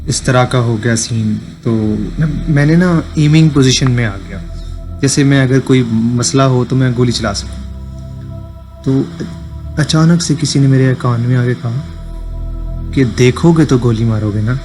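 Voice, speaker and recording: A man from Punjab with a Pakistani accent, casually telling a first-person story in halting phrases as a radio listener. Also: medium pitch, a little mellow. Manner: halting phrases, switches into English. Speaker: listener sending an audio story. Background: background music.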